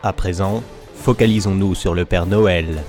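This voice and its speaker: Low-voiced man narrating a mock documentary. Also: steady tone, loud music behind the voice. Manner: mock-serious, deliberate.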